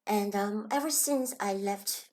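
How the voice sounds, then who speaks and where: A woman with a Hong Kong Chinese accent speaks slowly and gently in a reflective personal talk. Her voice sits at a middle pitch, unhurried and thoughtful, now and then sinking to a soft whisper. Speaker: channel host.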